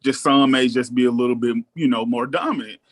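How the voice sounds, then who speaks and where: A man in his thirties chatting casually on a podcast, thoughtful and relaxed, often pausing with ums. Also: mid-range voice. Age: 30s. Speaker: guest co-host.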